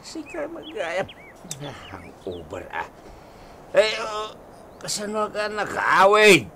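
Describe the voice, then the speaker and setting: Radio drama role: tipsy Filipino dad, high swooping voice, sloppy, rambling, bragging. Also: male voice, wildly swooping pitch, takes it slowly, sharp tone, slight background noise, full character voice. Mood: groggy, hungover. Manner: slurred drunk rambling, repeating himself. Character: drunken boastful father, sloppy and fond. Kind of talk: radio comedy-drama acting.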